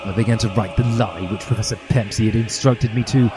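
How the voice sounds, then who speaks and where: A man's voice: lowish voice, steady tone, a little fast, music in the background. A man reading a parody story in a mock-earnest, emphatic way, occasionally tripping over lines. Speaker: podcast reader of parody fan fiction.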